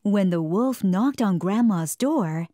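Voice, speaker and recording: A woman storyteller reading a scripted children's bedtime story in an expressive, dramatic way. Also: mid-range voice, slow, very noisy. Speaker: storyteller, narrator. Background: music.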